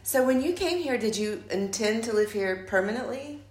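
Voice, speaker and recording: A woman's voice: a little high, animated, moderate pace, a little mellow. A woman interviewing someone, asking short, plain questions. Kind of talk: oral history interview. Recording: echoey room.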